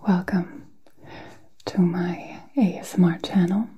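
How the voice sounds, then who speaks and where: A woman whispering a guided meditation in a soft, breathy, soothing way, with long pauses, as an ASMR host. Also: fairly low pitch, fairly even intonation. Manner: slow, soothing, halting delivery with long pauses.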